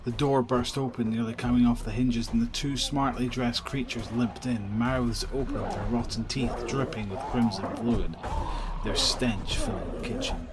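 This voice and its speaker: A man's voice: medium pitch, lively intonation, normal speed. A man narrating a scripted horror audio drama. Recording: clear background noise and ambience.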